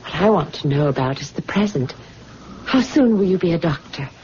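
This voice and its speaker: A woman's voice: deep voice, expressive, a little fast. An actress playing a curious, teasing young woman with a playful, coaxing manner in a radio drama. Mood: eager, curious. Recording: muffled lo-fi radio recording, faint noise.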